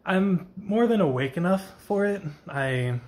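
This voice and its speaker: A man's voice: high-pitched, animated, a little slow. A young man chatting casually in a vlog, tired with a slightly scratchy voice, rambling and correcting himself as he goes. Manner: rambling, self-correcting, soft-spoken. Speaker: vlogger. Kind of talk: casual after-work vlog chat.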